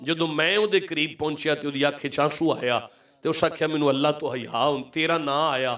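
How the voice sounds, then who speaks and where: A man's voice: a little high, steady tone, a little fast, fairly bright tone, recorded from a distance. A middle-aged man with a Punjabi accent preaching an Islamic sermon, telling stories, repeating phrases for emphasis and putting questions straight to his listeners. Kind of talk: religious sermon to a congregation, storytelling with calls for audience response.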